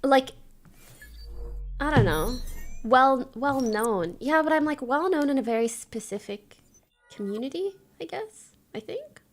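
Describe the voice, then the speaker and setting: A young woman from Canada with a francophone Canadian accent, chatting casually on a livestream and reacting to videos, with lots of fillers and quick laughs. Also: high-pitched, lively intonation, soft background music. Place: home streaming room.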